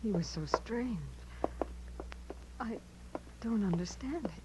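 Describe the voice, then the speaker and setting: An actress playing an earnest, devoted young small-town niece in a 1950s radio drama. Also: female voice, a little high, animated, takes it slowly. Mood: troubled. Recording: hissy, humming 1950s radio recording.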